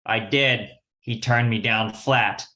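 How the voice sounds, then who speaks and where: Guy doing a slangy young crook from an old radio detective show, bright punchy voice. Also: fairly low pitch, fairly even intonation, takes it slowly. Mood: pleading, cocky. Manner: wisecracking, slangy. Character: scheming young male gambler and crook, 1940s slang. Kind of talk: 1940s radio detective acting.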